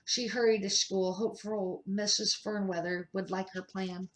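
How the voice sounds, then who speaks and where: An older woman, a grandmother, reading a children's picture book aloud in a measured, careful amateur style with short phrases. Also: mid-range voice, fairly even intonation, low-quality recording.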